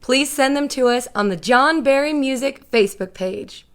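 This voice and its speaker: A woman reading a friendly scripted welcome for a children's show, clear and polite. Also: sits high, normal speed. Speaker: show announcer. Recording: music playing behind.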